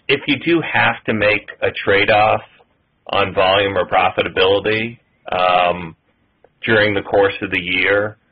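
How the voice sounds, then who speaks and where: A man asking long, detailed, measured questions as an analyst on an earnings conference call. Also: on the low side, husky. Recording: muffled, tinny conference phone line.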